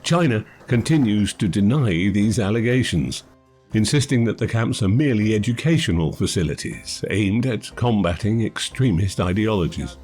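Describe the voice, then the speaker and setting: A man reading scripted documentary narration in a measured, formal manner. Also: fairly low pitch, animated, a little mellow, breathy edge. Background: background music.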